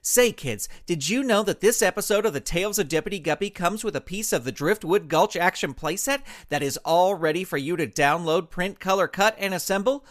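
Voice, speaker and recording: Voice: medium pitch, a little fast, few pauses. An American announcer reading scripted, upbeat credits for a children's audio show. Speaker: closing announcer.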